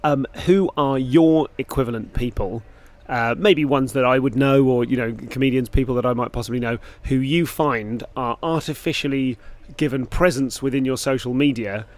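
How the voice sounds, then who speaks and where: Male comedian, mid-pitched, rambly and self-interrupting. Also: normal speed, hardly any pauses. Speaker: comedian asking a recorded question. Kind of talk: recorded message to a guest.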